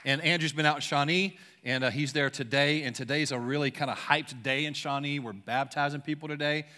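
A young man preaching a sermon in a church, casual and excited, using slangy talk and leading a prayer. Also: fairly high pitch, fairly quick. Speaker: pastor. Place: church sanctuary.

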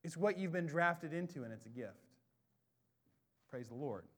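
An American man delivers an expository sermon in a slightly high voice. He swings between low, offhand asides and booming, drawn-out lines of emphasis. His voice is big, and he sounds like he has no inside voice. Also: animated, a bit bright. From Bellingham, Washington, USA. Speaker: pastor.

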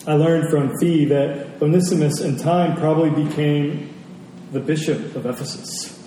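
A man preaching a sermon as a pastor, earnest and emphatic, pausing and repeating phrases for weight. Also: steady tone, mellow. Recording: echoey hall, distant mic, faint rumbling noise.

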